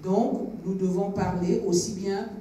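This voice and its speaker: A Cameroonian woman with a soft-toned voice addressing youngsters after a screening, heard from the back of a very reverberant hall. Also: medium pitch, steady tone, measured pace. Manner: engaging, asks questions, switches between French and English. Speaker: co-host of a film club discussion. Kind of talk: addressing a young audience, didactic. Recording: very echoey hall, distant from microphone.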